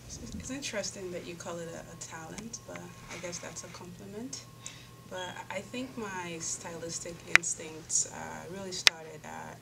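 Woman designer, 30s, low gravelly voice, reflective with fillers; far mic, heavy hiss. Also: a little slow. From Nigeria. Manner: thoughtful, many fillers and restarts. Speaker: fashion designer guest. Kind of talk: interview answers. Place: indoor fashion showroom. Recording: distant mic, loud background hiss.

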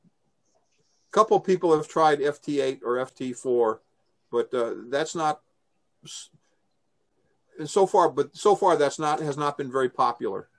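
An older man with a fairly high-pitched voice presents informally. He explains in a conversational way, taking questions over a video call. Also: measured pace, long pauses, a bit bright. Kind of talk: informal briefing and question answering. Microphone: video call microphone.

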